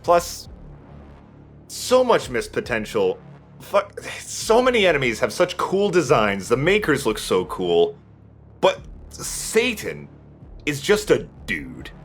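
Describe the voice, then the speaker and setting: A man's voice: medium pitch, huge pitch swings, takes it slowly, soft background music. A man chatting casually and jokingly as he commentates on a video game, swearing freely. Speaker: let's play commentator. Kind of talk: casual gaming chat with co-host.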